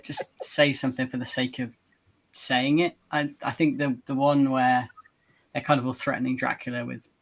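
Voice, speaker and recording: A man's voice: mid-range voice, little pitch movement, moderate pace, gravelly, rough recording. A man chatting casually and thoughtfully as a livestream guest, somewhat hesitant. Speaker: cast guest on a Q&A.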